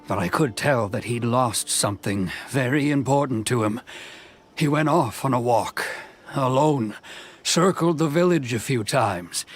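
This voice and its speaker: A man's voice: mid-range voice, lightly acted. Man voicing an earnest, worried villager. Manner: earnest, concerned. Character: worried male villager speaking for a friend. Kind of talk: video game dub.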